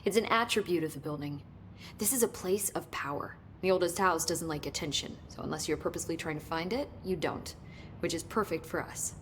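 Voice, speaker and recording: An eager woman researcher in a video game, played by an actress, in her thirties. Her voice sits a little low, and she explains things in an articulate, analytical way over a faint rumble. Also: brisk, natural voice. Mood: eager, thoughtful. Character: eager, chatty woman researcher at secretive bureau. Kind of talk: naturalistic game acting, explanatory dialogue.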